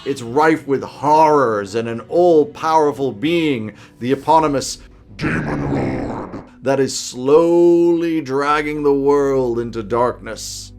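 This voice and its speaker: A man hosts a roleplaying video from a small home office. His mid-pitched delivery is emphatic and enthusiastic. Music plays behind his scripted commentary. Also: lively intonation, takes it slowly, darkish tone. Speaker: tabletop roleplaying video host. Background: background music.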